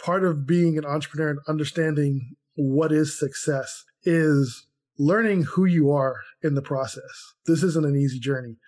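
A man's voice: a little high, normal speed, darkish tone. A man co-hosting a podcast, talking casually and reflectively through personal anecdotes as an entrepreneur. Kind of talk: casual conversational talk.

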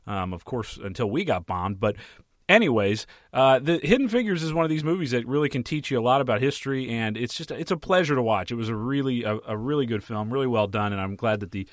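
A hoarse man, hosting a solo podcast and giving his opinions on films in a rambling, casual way. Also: medium pitch, lively intonation, fast, no room sound, clean studio sound. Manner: rambling, opinionated, many fillers and restarts. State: hoarse from a flu. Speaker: podcast host and film critic.

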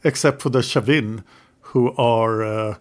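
A man's voice: medium pitch, steady tone, unhurried. A man hosting a history podcast, leading the talk with long explanations and light teasing. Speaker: podcast host. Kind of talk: explaining history in casual chat.

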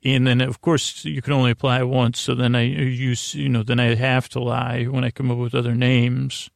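Meandering, creaky-voiced man lulling listeners. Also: medium pitch, flat delivery, dry recording, clean studio sound. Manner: meandering, halting, often trailing off and repeating himself; lulling, soothing. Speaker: sleep podcast host. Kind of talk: rambling bedtime monologue.

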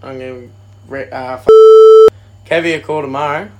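An Australian man co-hosting a live podcast, his voice gravelly with fry. He is a bit tipsy, curses freely and trades rowdy jokes with his co-host. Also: mid-range voice, fairly even intonation, moderate pace, very noisy. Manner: swears a lot, joking banter. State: a little tipsy. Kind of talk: casual live podcast chat.

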